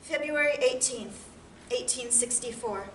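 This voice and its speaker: A woman's voice: high-pitched, unhurried, fairly bright tone. An actress playing a loving, anxious farm wife of the 1860s, speaking her letters to her husband as an emotive stage monologue in plain, old-fashioned rural phrasing. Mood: sorrowful. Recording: roomy, distant mic with background noise.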